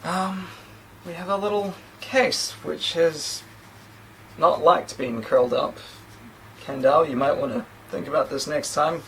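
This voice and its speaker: A man's voice: a little high, steady tone, measured pace, vocal fry, a bit bright, slight background noise, poor audio. A man in his thirties with a New Zealand accent, chatting casually and rambling a little as he unboxes a gadget, recorded by a camera mic a few feet away in a small room. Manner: chatty, rambling, self-deprecating asides. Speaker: tech reviewer. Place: small indoor room against a plain sheet backdrop.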